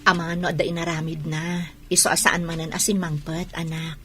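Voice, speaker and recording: A woman playing a patient, hurt wife and gentle mother with an Ilocano Filipino accent in a radio drama. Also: deep voice, level tone, moderate pace, soft background music. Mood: reassuring.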